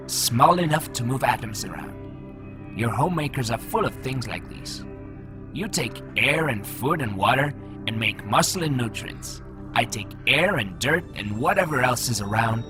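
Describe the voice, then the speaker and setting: A man's voice: medium pitch, close to an everyday voice. An actor playing a proud, teasing man made of nanomachine fog in a voiced comic, chatty and explanatory. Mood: patient, showy. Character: breezy, proud male human made of floating nanomachine fog. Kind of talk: voiced comic audio drama.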